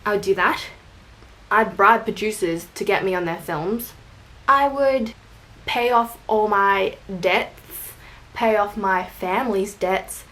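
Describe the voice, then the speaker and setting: A woman's voice: mid-range voice, slow pace, a bit bright, low-quality recording. A young woman with an Australian accent talks casually to her camera. Her chatter rambles from point to point, and she pokes fun at herself along the way. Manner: chatty and rambling, self-deprecating jokes. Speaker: vlogger. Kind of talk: casual tag video answering questions.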